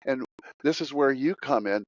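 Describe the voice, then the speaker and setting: A man's voice: medium pitch, normal speed, no room sound. A man who is a podcast guest, speaking in an emphatic, preachy way and repeating his key words. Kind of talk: interview talk. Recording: dry, dull lo-fi audio.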